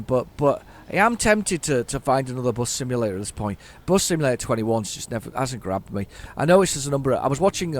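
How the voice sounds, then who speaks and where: Hoarse man rambling over gameplay. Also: mid-range voice, slight background noise. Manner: rambling, stammering repeats, chatting to himself. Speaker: gaming YouTuber. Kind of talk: casual gameplay commentary.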